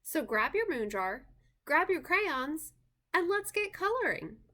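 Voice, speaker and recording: A woman reading a children's story aloud in an expressive, deliberate storytime style. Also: sits high. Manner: deliberate, animated delivery for young children.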